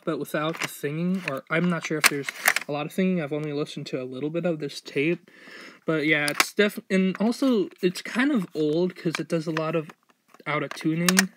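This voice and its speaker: A young man with a slightly higher-pitched voice talks off the cuff, showing things to the camera. His delivery is halting and rambling, full of hesitations. The handheld home recording has clear background noise. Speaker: vlogger showing a collection. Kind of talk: casual, unscripted show-and-tell. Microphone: handheld phone or camera mic. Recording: noisy, fuzzy handheld amateur recording.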